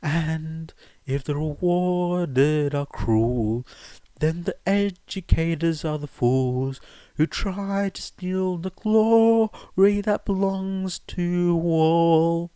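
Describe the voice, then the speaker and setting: A man voicing a defiant young male revolutionary leader in a fan fiction audiobook, with grandiose, drawn-out, theatrical delivery. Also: a little high, warm tone, clean tone, no room sound, pitched above the performer's own voice. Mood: defiant singing.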